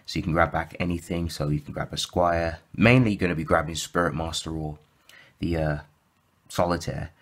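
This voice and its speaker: Low-pitched male host, a bit croaky, casual deck walkthrough, filler-heavy and self-correcting. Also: steady tone, moderate pace. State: slightly croaky from sore throat. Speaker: YouTube deck profile host.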